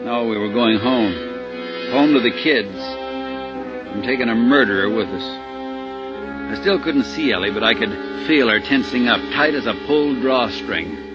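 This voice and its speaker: An anxious American husband and father acted by a man in a 1950s radio thriller. He speaks plainly, with tension under the words. The recording is muffled, with clear music behind. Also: mid-range voice, lively intonation, unhurried, lightly acted. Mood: grim, tense narrating. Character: anxious married father held hostage, narrating his ordeal. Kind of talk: 1950s radio drama acting with first-person narration.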